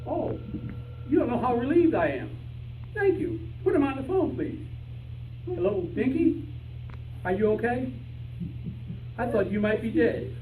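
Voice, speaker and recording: Man acting an excitable high-pitched stubborn detective, echoey. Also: measured pace, long pauses, exaggerated voice. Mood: hopeful, relieved. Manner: indignant, argumentative. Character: excitable stubborn male detective searching for his lost canary. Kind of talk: stage play in old-time radio show style. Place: live rehearsal before an audience. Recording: echoey room, distant mic, faint noise.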